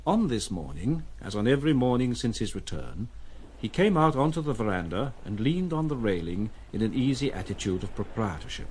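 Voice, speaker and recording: A man's voice: medium pitch, big pitch swings, fairly quick, a little mellow, a little hiss or hum. A man giving dramatic scripted narration of a literary story.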